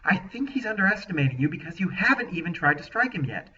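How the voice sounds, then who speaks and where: A hushed, urgent young woman in the middle of a fight, voiced by a man reading a story. Her voice sits higher and brighter than his own. It is heard from a little away, with a dull, lo-fi sound. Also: big pitch swings, fast, recorded from a distance, exaggerated voice.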